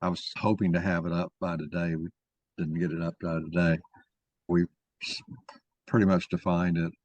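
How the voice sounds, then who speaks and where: A man moderating an informal discussion, talking in a halting, rambling way as he thinks aloud. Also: sits low, flat delivery, darkish tone, dry recording, lo-fi sound. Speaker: moderator of an online mock trial.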